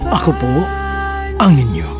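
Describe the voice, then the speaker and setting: A man's voice: mid-range voice, huge pitch swings, measured pace. A man announcing radio drama credits and a sign-off in a formal scripted style. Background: music.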